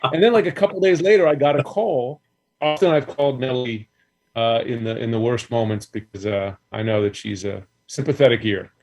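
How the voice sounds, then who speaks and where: A man's voice: mid-range voice, a little fast, a little mellow. A middle-aged man talking as a guest over a video call from a room at home, rambling and restarting his sentences. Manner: rambling, self-interrupting. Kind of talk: casual chat.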